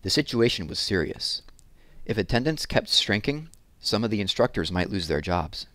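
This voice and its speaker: Male storyteller for children's read-aloud; lower-pitched voice, dry close sound. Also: very animated, moderate pace, a bit bright, dry recording.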